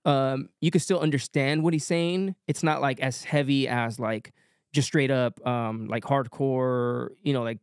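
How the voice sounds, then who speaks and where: A young man talking casually as a musician guest on a podcast, relaxed, with lots of fillers. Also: mid-range voice, measured pace, clear, resonant tone, no room sound, studio quality. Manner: relaxed, many fillers, light-voiced.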